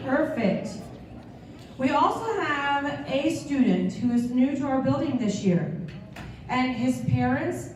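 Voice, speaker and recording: A woman's voice: high-pitched, darkish tone, a little hiss or hum. A woman on staff leading a school assembly, upbeat and cheering the students on. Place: large school gym full of seated students. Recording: echoey gym from far off.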